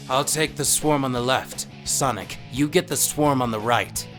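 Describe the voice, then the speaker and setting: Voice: mid-range voice, normal speed, a bit bright, soft background music, natural voice. An actor voicing a stern, serious male antihero with a terse, brooding manner in a fan audio drama. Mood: commanding. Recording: roomy, echoing voice track.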